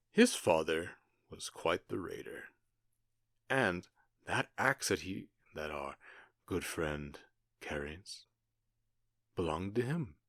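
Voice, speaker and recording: A man playing a menacing, smugly courteous outlaw gang leader in a western audio drama, speaking with taunting, falsely polite pauses. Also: rumbling low, a bit bright, no echo, studio quality, full character voice.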